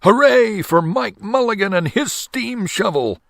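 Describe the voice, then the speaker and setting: A man voicing excited small-town onlookers calling out in a children's storybook reading. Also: high-pitched, unhurried, gravelly, no echo, clean studio sound, pitched above the performer's own voice, heavily acted. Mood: jubilant.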